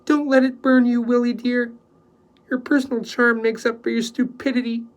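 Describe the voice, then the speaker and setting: A man's voice: very high-pitched, fairly quick, dark tone, voice pushed up. A man doing a teasing, bantering woman. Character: bantering plump woman who writes cookbooks.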